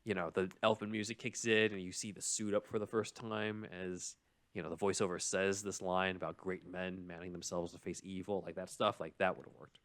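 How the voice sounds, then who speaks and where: A man's voice: deep voice, level tone, a little fast, fairly bright tone. A man hosting a podcast, explaining a film story in casual chat with an analytical, enthusiastic fan's manner and casual asides. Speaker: podcast host.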